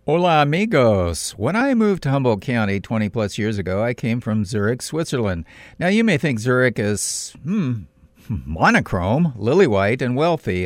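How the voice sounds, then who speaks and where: A middle-aged man from California reads his radio commentary, deliberate and emphatic. His pitch swoops widely and jumps up to stress each point. The studio sound is close and dry. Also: no room sound.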